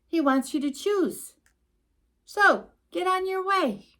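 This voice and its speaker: Slow, very animated woman reading a children's story. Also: very high voice. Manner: animated, emphatic, with asides to a child listener. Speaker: children's storytime reader and host. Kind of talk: expressive read-aloud of a rhyming picture book to a young child.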